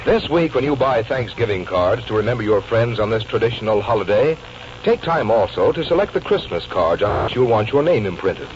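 A man's voice: fairly low pitch, expressive, a little fast. An American man delivering a polished, scripted 1940s radio sponsor announcement. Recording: muffled old radio recording, faint noise.

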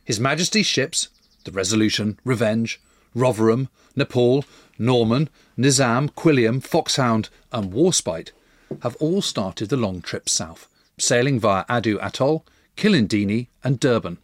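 A man's voice: mid-range voice, expressive, unhurried, dry recording. A man narrating a scripted history documentary as its storyteller.

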